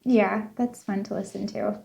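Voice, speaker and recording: Mellow-voiced woman hosting an interview. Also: medium pitch, steady tone, a little slow, creaky, a little hiss or hum. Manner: affirming, chatty, asks long questions. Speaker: interview host. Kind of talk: casual interview chat.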